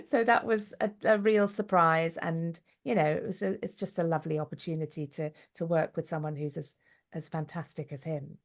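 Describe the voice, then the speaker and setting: A middle-aged woman giving a casual interview, telling stories in a chatty, animated way. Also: low-pitched, moderate pace. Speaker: interview guest, actress and director.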